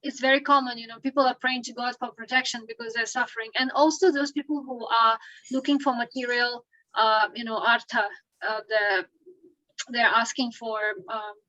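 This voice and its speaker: A woman with a bright, fairly high voice talks hesitantly, searching for her words. The sound is dry and slightly muffled. She leans on fillers as she goes. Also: fairly even intonation, moderate pace, dry recording.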